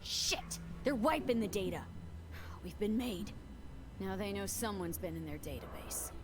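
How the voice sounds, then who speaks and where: A woman's voice: mid-range voice, lively intonation, measured pace, background noise, natural voice. An actress playing a tough, streetwise young female mercenary in a video game, speaking in terse, blunt, urgent phrases. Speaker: game character, protagonist V.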